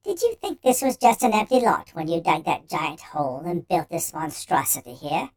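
Storybook homunculus: small, pedantic, affronted, high-pitched and very animated. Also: male voice, normal speed, gravelly. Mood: indignant. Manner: indignant, lecturing, sarcastic. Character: small indignant male homunculus, pedantic, scolding humans. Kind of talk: storybook character voice.

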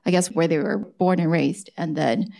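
A woman's voice: lowish voice, normal speed, gravelly. A woman who grew up in the United States, co-hosting a podcast and chatting animatedly as interviewer on a live stage. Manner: chatty, animated, with fillers like "like" and "right?". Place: event hall with live audience.

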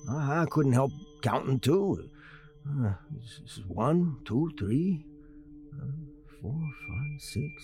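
An actor in a 1940s radio drama playing a brash, working-class husband who narrates and hollers in outrage. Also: male voice, on the high side, heavily acted. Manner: hot-tempered, yells and hollers.

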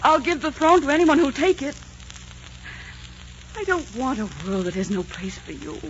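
A woman's voice: high voice, big pitch swings, normal speed. A passionate, desperate queen, old radio. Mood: hurt, insistent. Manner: melodramatic, imploring, fervent. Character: passionate, desperate young Queen of Scots in love. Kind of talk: 1940s radio romance acting. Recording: dull, noisy 1940s radio recording.

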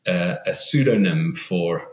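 A man's voice: sits low, animated, slow, echoey room. A man, a guest author on an interview podcast, speaks thoughtfully and earnestly, often restarting and repeating words.

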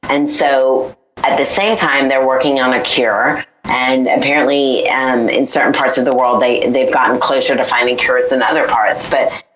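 A woman's voice: extremely low pitch, fairly even intonation, moderate pace, fairly bright tone, echoey room, lo-fi sound, slight background noise. A woman answering as an interview guest, earnest and reflective about her work and her plans. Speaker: guest, fundraising advisor.

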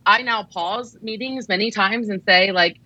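A woman co-hosting a podcast, her voice bright and forward with a dry, close sound. She talks in an affirming, chatty way, nodding along with quick "yep"s and sharing advice. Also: mid-range voice, no room sound, highs cut off. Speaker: podcast co-host. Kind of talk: casual podcast chat giving advice.

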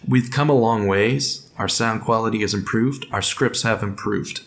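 A man gives a podcast introduction in a low-to-middle, gravelly voice with plenty of fry. He is informal and encouraging as he addresses listeners. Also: normal speed. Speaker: podcast creator and host.

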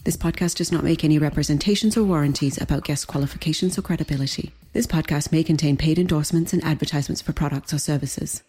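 A woman with a deep, dark voice reads a podcast disclaimer at high speed. Her delivery is brisk and even, and faint music sits underneath. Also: breathy edge. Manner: brisk, even legal read. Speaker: disclaimer announcer. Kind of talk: scripted read disclaimer.

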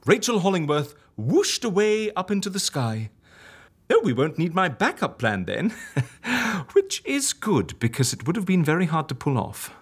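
An actor playing an excitable, sarcastic head of an agency in a comedy audio drama, talking theatrically and repeating words for effect. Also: male voice, high-pitched, normal speed, breathy edge, full character voice. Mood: intrigued, delighted.

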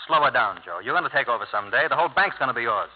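An actor playing a confident, brash favorite son with a breezy, self-assured way of talking in a radio drama. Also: male voice, mid-range voice, animated, very fast, slightly rough. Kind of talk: 1950s radio drama acting. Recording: muffled 1950 radio broadcast, music behind.

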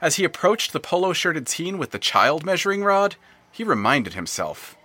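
A man's voice: on the high side, very animated, normal speed, bright, forceful tone, husky, dry recording. A man narrating an audio drama in a wry storytelling manner.

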